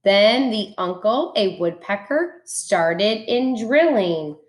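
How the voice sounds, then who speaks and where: A woman's voice: mid-range voice, expressive, slow pace, background noise. A woman reading a children's storybook aloud expressively, like a schoolteacher.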